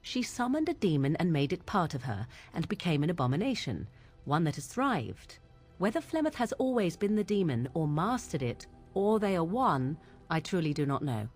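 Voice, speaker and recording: A proud young witch from a fantasy game, her voice on the low side. She speaks in solemn, old-fashioned phrases with brooding deliberation, over background music. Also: big pitch swings, a little mellow. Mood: grave, measured. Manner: formal archaic diction, deliberate. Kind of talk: video game dramatic dialogue.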